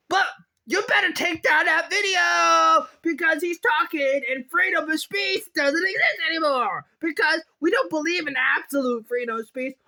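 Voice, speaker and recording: A very high, bright, squeaky voice rants in a rambling vlog. The agitated complainer exclaims, repeats phrases and stretches words out. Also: male voice, heavily acted. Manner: exclamatory, repetitive, drawn-out words. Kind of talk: rambling solo rant.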